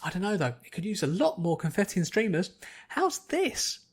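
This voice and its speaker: A man voicing a bubbly, hyperactive young female cartoon pony, gushing and rambling excitedly. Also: sits high, normal speed, slightly rough, pitched above the performer's own voice, exaggerated voice. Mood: gushing, delighted. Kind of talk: fan fiction audiobook character voice.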